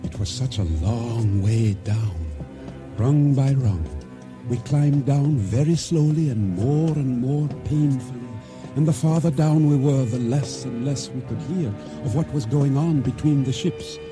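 A man's voice: medium pitch, lightly acted. Actor as solemn island boatman, music underneath. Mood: grave, measured. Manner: measured, formal, deliberate storytelling. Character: solemn island boatman recounting his ordeal at sea. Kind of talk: dramatic first-person narration for radio theater. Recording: radio drama with music underscore.

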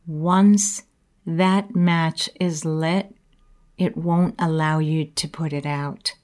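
A woman giving a slow, earnest inspirational talk about courage, reflecting on her own life. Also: fairly low pitch, darkish tone. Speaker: motivational speaker.

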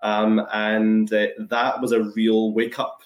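Low-voiced Scottish man in an echoey room. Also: monotone, slow. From Scotland. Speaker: guest, anaesthesiology and intensive care resident. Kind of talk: explanatory webinar talk. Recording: echoey webinar call.

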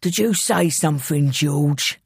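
A woman voicing a coarse male henchman with a hoarse, gruff, old-style London accent in an audiobook. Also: deep voice, a little slow, darkish tone, no echo, clean studio sound, pitched below the performer's own voice, exaggerated voice. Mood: suspicious menace.

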